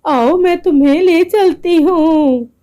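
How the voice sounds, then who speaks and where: A woman voicing a kindly old village woman in a story, gently and earnestly giving motherly advice. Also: extremely high pitch, slow, voice pushed up. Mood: advising.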